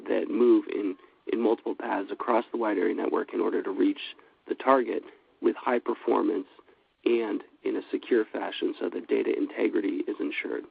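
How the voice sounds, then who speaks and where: A man with a cold giving a steady, businesslike webinar presentation, with frequent fillers. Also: lowish voice, vocal fry, slightly rough. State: has a cold. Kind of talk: scripted product presentation. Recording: dry, phone-like line.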